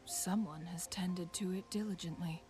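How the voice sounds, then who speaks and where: A woman playing a calm, scholarly young woman in a video game, speaking in a measured, pensive and formal way. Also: on the low side, close to an everyday voice. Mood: pensive, explanatory. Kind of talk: scripted video game voice acting. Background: game music.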